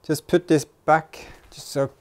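A man, probably in his thirties, giving a casual hands-on workshop narration in a halting way as he thinks aloud while working. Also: fairly high pitch, level tone, a little hiss or hum. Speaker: host of a DIY vehicle build vlog. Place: indoor garage workshop, in and around an open car.

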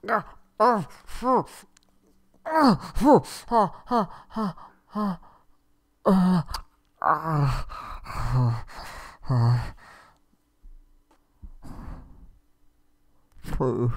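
Man acting a slow-talking, haughty, frightened captive prince. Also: mid-range voice, dry recording, clean studio sound. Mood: pained pleading. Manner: stammering, hesitant, pleading. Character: haughty, frightened young kidnapped prince, foul-mouthed but submissive. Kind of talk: ASMR roleplay audio drama, one-sided dialogue.

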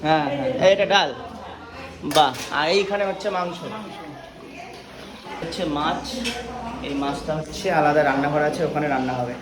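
A man's voice: fairly high pitch, steady tone, moderate pace, long pauses, a crowd in the background. A man chatting casually as he films a family vlog. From West Bengal, India. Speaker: vlogger. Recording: noisy on-location vlog audio.

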